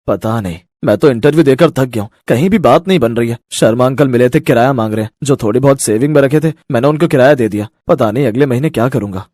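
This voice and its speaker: A man's voice: mid-range voice, fast talker, few pauses, a little mellow, no room sound, clean studio sound, natural voice. A man voicing a tired, worried young husband in a cartoon story, speaking in a downcast way. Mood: weary. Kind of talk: Hindi cartoon story acting.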